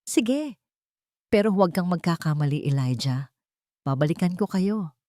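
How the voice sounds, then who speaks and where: A woman's voice: fairly low pitch, animated, moderate pace, mellow, no room sound, studio quality, close to an everyday voice. A woman voicing a jealous, haughty young fiancée in a radio drama, sneering, possessive and menacing. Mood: threatening.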